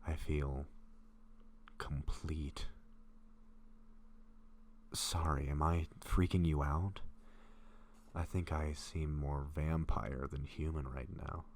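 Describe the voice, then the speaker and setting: Man playing a very deep, hesitant gentle vampire. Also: fairly even intonation, a little hiss or hum. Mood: blissful, dazed, then guilty, concerned. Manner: hesitant, breathy murmurs, halting phrases. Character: gentle, apologetic lovestruck young male vampire, shyly hungry. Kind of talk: intimate audio roleplay.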